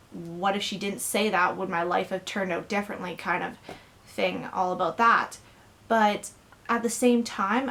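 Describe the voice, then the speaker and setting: A young woman talks earnestly to her camera from her bedroom, candid and a little halting, with plenty of fillers. Her voice sits at an ordinary middle pitch for a woman. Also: a bit bright. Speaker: vlogger. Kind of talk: personal rant to camera.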